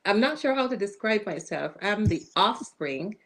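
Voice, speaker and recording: A woman with a Barbadian accent, a guest introducing herself in a polite, measured way. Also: medium pitch, animated, fairly bright tone. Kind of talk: casual interview chat.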